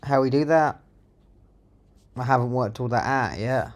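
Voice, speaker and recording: A man with a mid-pitched voice talks through his programming in a casual, unscripted way. He sounds like a developer thinking out loud, musing half to himself as he works. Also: measured pace. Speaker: software developer presenting own project. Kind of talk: casual live-coding narration, thinking aloud.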